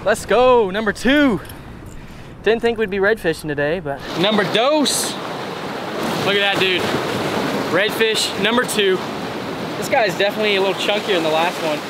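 A young man talking to camera while fishing off a rock jetty in rough sea, hyped and exclamatory, recorded on a body-worn action camera. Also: sits high, normal speed, long pauses, noisy background. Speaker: fishing vlogger. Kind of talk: excited outdoor fishing commentary.

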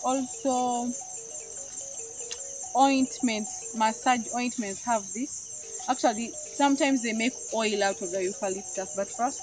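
A young woman, chatting casually as she shows plants in a rural field, talking into a handheld phone camera. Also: a little high. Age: young adult. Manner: chatty, explaining plants to viewers. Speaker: vlogger. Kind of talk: casual vlog walkthrough. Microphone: phone camera, often held at arm's length.

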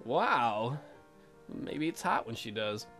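Male let's player, bright voice, sarcastic and crude, casual delivery over light music. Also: mid-range voice, big pitch swings, slow. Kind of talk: casual game commentary.

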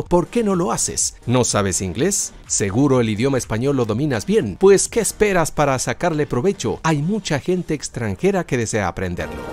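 Lively male voice-over. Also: mid-range voice. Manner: upbeat, lifts his voice on each numbered heading. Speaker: narrator of a business ideas video. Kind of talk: scripted voice-over narration. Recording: voice-over with faint background music.

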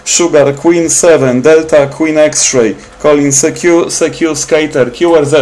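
A man's voice: a little high, fairly even intonation, measured pace, a little mellow. A man working as an amateur radio operator, making clipped, repetitive contact calls with phonetic call signs. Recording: noisy room with radio static.

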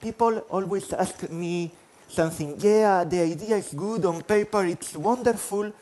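A man's voice: sits high, measured pace, a little mellow. A man in his forties from Spain with a Spanish accent, giving a conference talk on stage, self-deprecating, restarting phrases. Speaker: conference speaker. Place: large conference hall stage.

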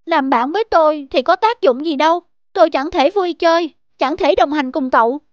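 A woman's voice: high-pitched, normal speed, no echo, muffled recording, close to an everyday voice. A woman voicing a gloomy, prickly blind dog in a cartoon, sullen and self-pitying. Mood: bitter, dejected. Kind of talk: dubbed cartoon acting.

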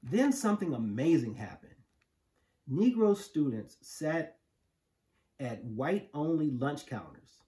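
Storybook reader: American man, middle-aged, slow and emphatic delivery, animated intonation. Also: a little high. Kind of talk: read-aloud of a children's picture book. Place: living room, indoors on a couch. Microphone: camera a few feet away.

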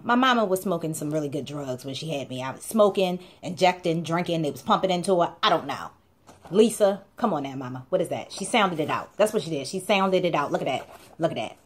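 A young woman talks straight into her camera from a small room. Her delivery is chatty and playful, with emphatic repeated phrases that drive each point home. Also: fairly low pitch. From Baltimore, USA. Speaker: blog co-host. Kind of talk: casual talking-to-camera vlog.

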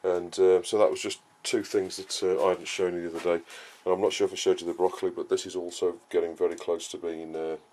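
Low, even-voiced man chatting while gardening. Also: fast talker, few pauses. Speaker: gardening vlogger. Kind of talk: casual explanatory chat while gardening. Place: outdoor vegetable garden and greenhouse. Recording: clearly noisy outdoor ambience, uneven distance.

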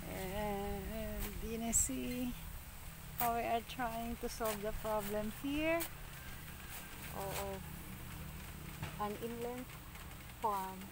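Slow-talking Filipino woman vlogging outdoors. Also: a little high. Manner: chatty, laughs often, hesitant fillers. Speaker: farm vlogger. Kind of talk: casual vlog narration. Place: outdoors on a rural farm path. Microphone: phone at arm's length. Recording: outdoor phone audio with rural noise.

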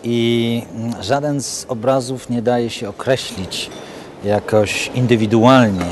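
A man giving reflective interview commentary, halting and repeating phrases for emphasis. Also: mid-range voice, background noise.

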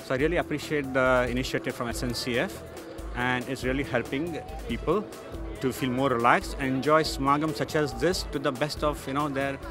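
A man's voice: medium pitch, fairly even intonation, brisk, loud music behind the voice. A man giving a testimonial into a handheld microphone. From lives in Scotland. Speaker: interviewee, event attendee. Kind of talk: on-camera testimonial. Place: large busy tent camp. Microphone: handheld reporter microphone.

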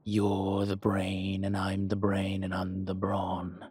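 A man playing a suave, scheming dragon mob boss in an intimate audio roleplay, with measured, husky, breathy delivery and deliberate pauses. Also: deep voice, completely flat delivery, darkish tone, no room sound, exaggerated voice. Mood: conspiratorial. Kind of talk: slow, intimate scripted roleplay monologue.

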